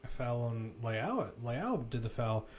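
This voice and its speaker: A man's voice: medium pitch, big pitch swings, slow pace. A man with a mid-range voice commentates live on a football match. He talks through the play casually and conversationally, with the odd wry aside. Faint ambient noise sits behind his voice.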